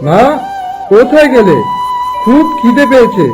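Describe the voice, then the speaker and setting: A man's voice: extremely high pitch, big pitch swings, unhurried, over music, heavily acted. A man voicing a humble, earnest young village cowherd in a fairy-tale cartoon. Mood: grumbling.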